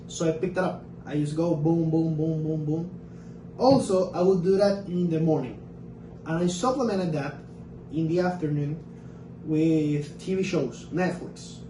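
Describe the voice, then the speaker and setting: Man, native Spanish speaker, punchy repeated phrases, high-pitched, roomy echo. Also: distant mic, a little hiss or hum. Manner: energetic, emphatic repetition, talks in short bursts. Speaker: English teacher and fluency coach. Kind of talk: casual vlog lesson.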